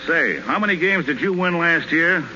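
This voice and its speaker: A man's voice: a little high, lively intonation, moderate pace, noisy background, close to an everyday voice. A man playing a wisecracking baseball manager. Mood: dry. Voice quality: wisecracking, dry. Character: dry-witted baseball team manager, middle-aged man. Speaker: team manager called Cap. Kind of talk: 1930s radio drama acting. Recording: muffled old 1930s radio broadcast.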